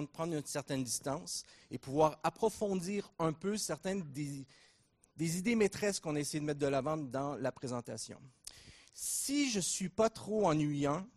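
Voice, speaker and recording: A middle-aged man with a Québécois accent giving a conference lecture, relaxed and joking, with many fillers and restarts. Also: on the high side. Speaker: invited lecturer at a training conference.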